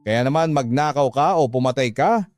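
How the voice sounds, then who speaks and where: A man's voice: medium pitch, moderate pace, a little mellow, dry recording, natural voice. Man voicing a devoted, earnest younger sibling.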